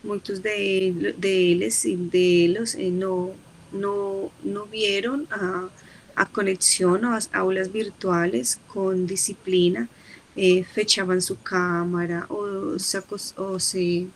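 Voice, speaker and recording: A woman teacher from Colombia speaks slowly in a Colombian Spanish accent over a video call, pausing often to find her words. Her voice has a gravelly fry, and a faint background hiss runs under it. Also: medium pitch, flat delivery, rough recording. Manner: halting, searching for words, mixes Portuguese and Spanish. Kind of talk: online class discussion.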